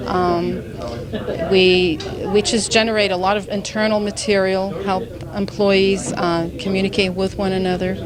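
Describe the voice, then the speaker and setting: Enthusiastic middle-aged woman from Hungary. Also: mid-range voice. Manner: warm, enthusiastic, laughs easily. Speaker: communications manager. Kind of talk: casual interview. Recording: chatter from a busy crowd behind.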